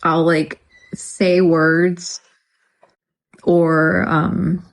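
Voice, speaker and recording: A woman's voice: lowish voice, flat delivery, extremely slow, creaky, low-quality recording. Chatty New woman vlogger. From New York. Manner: chatty, many fillers, thanks viewers by name. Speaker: livestream vlogger. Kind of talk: casual livestream chat.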